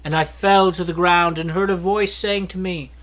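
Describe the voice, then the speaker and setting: A man reading scripture aloud from a script, with expressive delivery of the narrative. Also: high voice, normal speed. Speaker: Bible reader.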